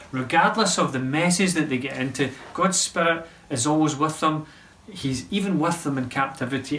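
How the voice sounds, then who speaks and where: A man's voice: mid-range voice, a bit bright. Male pastor, earnest sermon delivery, distant mic in an empty church hall. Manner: earnest preaching with emphatic rises. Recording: roomy echo, voice from far off.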